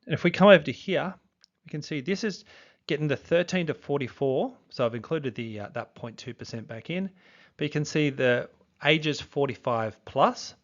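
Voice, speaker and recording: A man's voice: on the high side, moderate pace, dull audio. A man of fifty with a youthful-sounding voice, talking casually to camera as a true crime YouTuber, rambling with fillers and restarts. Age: 50s. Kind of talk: casual video commentary.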